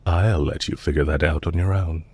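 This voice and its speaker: A man playing a calm, courteous villain leader in an audio roleplay, measured, formal and politely condescending, with quiet menace and amusement. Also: very deep voice, breathy edge, no echo, full character voice. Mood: smooth, amused. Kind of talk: audio roleplay, intimate scripted acting addressed to listener.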